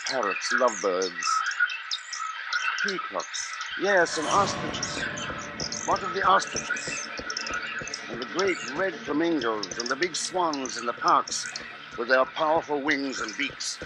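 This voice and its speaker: An actor playing a grave, weary husband and father, narrating with measured, deliberate drama in a 1950s radio play. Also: mid-range voice, animated, rough recording. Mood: uneasy, intense. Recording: 1950s radio broadcast, loud music behind.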